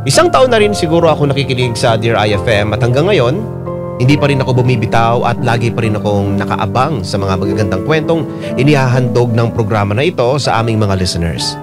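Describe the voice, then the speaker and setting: A man narrates a scripted personal story for a radio drama, reading a listener's letter in the first person. His pitch is middling but moves with lively expression. Prominent piano music plays behind him throughout. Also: fairly quick.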